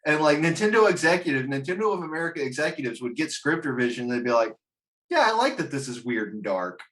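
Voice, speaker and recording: A man in an animated, casual podcast chat, ranting emphatically and swearing freely. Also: a little high, fast. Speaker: podcast panelist ranking video game movies.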